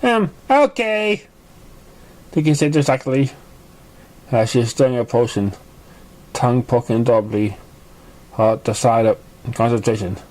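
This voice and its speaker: A man's voice: medium pitch, fairly even intonation, moderate pace, pauses often, mellow. A man reading fan fiction aloud as scripted narration, stumbling over the words here and there. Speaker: amateur story reader.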